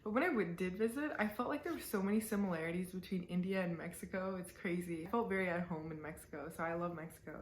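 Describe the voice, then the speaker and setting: A woman's voice: on the low side, fast, hardly any pauses, slight background noise. A woman in her twenties chatting casually to camera in a Q&A, giggly and full of fillers. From born in the UAE, raised in Canada. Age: 20s. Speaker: vlogger. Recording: roomy echo.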